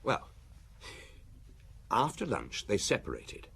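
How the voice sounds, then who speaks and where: A bashful, romantic young junior detective in a radio drama. His husky voice leaps around in pitch, eager and earnest yet hesitant. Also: wildly swooping pitch, slow pace, a bit bright, full character voice. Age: young adult.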